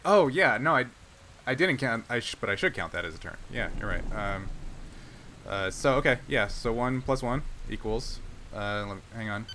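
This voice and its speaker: A man acting as game master, narrating a tabletop game and talking through rules casually, pausing as he works out rulings. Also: medium pitch, expressive, pushed and bright. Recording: clear background noise.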